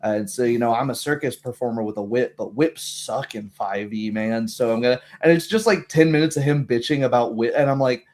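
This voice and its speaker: A man talking casually and opinionatedly as a streamer hosting a tabletop game group, with animated, ranting delivery. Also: mid-range voice, a little fast, few pauses.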